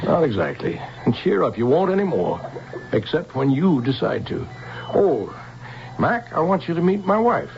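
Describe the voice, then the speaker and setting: An actor playing an earnest, confident scientist with a gravelly voice in a radio drama. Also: male voice, fairly high pitch, big pitch swings, normal speed, a little mellow, muffled recording. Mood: confident, genial. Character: earnest, confident male scientist, doctor and husband. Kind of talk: 1970s radio drama acting. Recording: very noisy, band-limited old radio.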